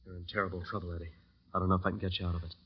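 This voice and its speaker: An actor playing a musician urgently warning a friend in hushed tones, in an old radio drama. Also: male voice, sits low, level tone, racing, breathy edge. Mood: urgent, grave. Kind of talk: 1940s-50s radio drama acting. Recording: dull old radio recording.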